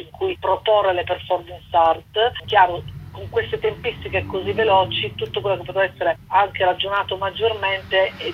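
A woman with a husky voice speaks as a guest in a radio interview. She explains things at length with frequent filler phrases, over a dull, muffled telephone line. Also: on the low side. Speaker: guest, arts project curator.

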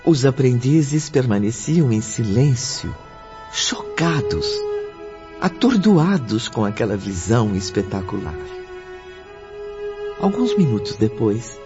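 An actor playing a solemn, dramatic storyteller who narrates a radio drama for the listener. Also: male voice, medium pitch, very animated. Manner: dramatic, deliberate. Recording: dull lo-fi audio under music.

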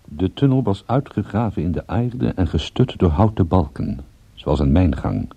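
Low, husky hard-boiled detective. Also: male voice, big pitch swings, normal speed, mellow, no echo, dull audio, heavily acted. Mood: dry narration. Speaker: lead character and narrator. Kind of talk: radio drama narration and dialogue.

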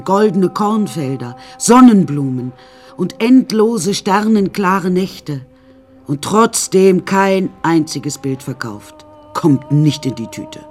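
Wry, eccentric painter narrating over music. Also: female voice, deep voice, darkish tone, slightly rough, full character voice. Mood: wry, reflective. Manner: wry, rambling, matter-of-fact. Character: eccentric, scarred woman painter wryly telling her life. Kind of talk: first-person radio drama narration.

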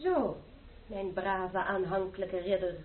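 A woman's voice: mid-range voice, slow, roomy sound, lightly acted. An actress playing a haughty, jealous young lady who commands and scorns in a radio play, heard on a tinny old recording. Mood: commanding. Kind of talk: radio drama acting.

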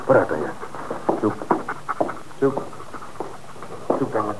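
A man's voice: lowish voice, animated, slow, very noisy. An actor playing a dutiful male police dog handler who gives commands to his dog in an old radio play. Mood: firm.

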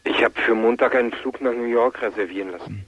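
A man's voice: medium pitch, quick pace, a bit bright, no echo, dull audio. An actor playing an earnest, lovestruck, unsure teenage boy in a German radio play, speaking hesitantly and confidingly. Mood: subdued. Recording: noisy outdoor-like ambient sound behind.

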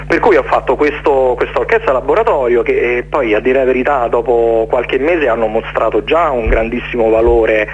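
A man, speaking casually as an interview guest in a halting, rambling way with repeated words. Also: medium pitch. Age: late 40s. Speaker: interview guest, jazz orchestra conductor. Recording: dull, noisy radio recording, hum.

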